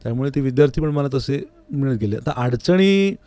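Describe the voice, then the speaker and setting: A man's voice: mid-range voice, expressive, fairly quick, warm tone, no echo. Dry-sounding man explaining earnestly. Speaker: interview guest, classical vocalist and music teacher. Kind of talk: conversational interview, reflective explanation. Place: recording studio. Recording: dry, close studio sound.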